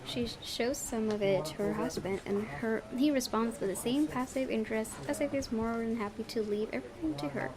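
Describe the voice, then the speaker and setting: A woman's voice: on the high side, a little fast, hardly any pauses, a crowd in the background. A woman hosting a gaming video, reading visual novel narration aloud and occasionally stumbling and correcting herself.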